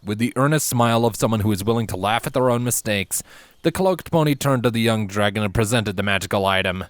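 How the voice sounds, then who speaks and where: A man narrating a scripted story as a reader of fan fiction, with expressive, theatrical emphasis. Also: lowish voice, fast talker, no echo.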